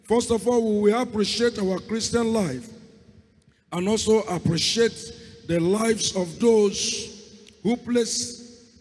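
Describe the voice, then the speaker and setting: A male preacher who has lost his voice, hoarse and fervent, opening with a prayer and going on to a sermon. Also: sits high, darkish tone, long reverb tail. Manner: fervent, repeats calls to God. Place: church hall.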